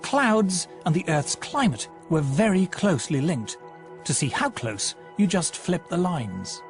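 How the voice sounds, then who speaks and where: Documentary narrator guy, slow and deliberate, pitch swinging a lot, music under it. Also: big pitch swings. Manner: measured, deliberate delivery. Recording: narration over music from a film.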